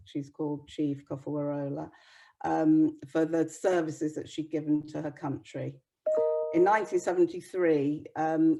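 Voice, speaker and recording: Woman lecturing, mellow voice, flat steady delivery. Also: low voice, rough recording. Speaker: speaker giving a talk on nursing history. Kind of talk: informal lecture.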